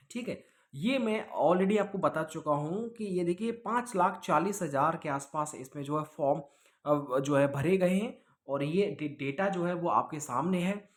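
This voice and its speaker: A man's voice: sits high, fairly bright tone. Male online exam coach, informal and explanatory, checks in often, code-mixes English. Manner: explanatory, repeats himself, with check-in tags; mixes English words into speech. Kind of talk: informal online lesson, answering student questions.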